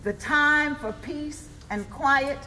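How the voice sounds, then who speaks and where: A woman's voice: sits high, slow, pushed and bright, heavily acted. A woman performing in a readers theatre ensemble, giving call-and-response affirmations. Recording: reverberant live hall, audience sounds.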